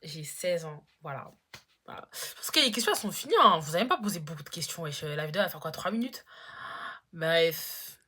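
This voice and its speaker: A woman's voice: deep voice, lively intonation, a little fast, bright, forceful tone, breathy edge. A teenage girl in a casual Q&A vlog, chatty and slangy, full of fillers. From Congo-Brazzaville. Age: teens. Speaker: YouTuber.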